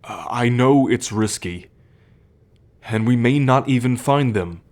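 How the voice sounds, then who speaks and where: A young man playing a troubled, protective male werewolf in an ASMR roleplay, speaking in a measured, halting, confiding way. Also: mid-range voice, a little mellow, lightly acted. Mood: earnest.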